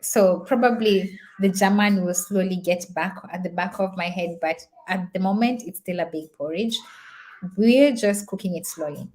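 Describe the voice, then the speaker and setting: A woman's voice: medium pitch, normal speed, darkish tone, rough recording. A young woman whose first language is Swahili, talking in a casual, reflective vlog, chatty and full of fillers and repeats. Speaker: vlogger.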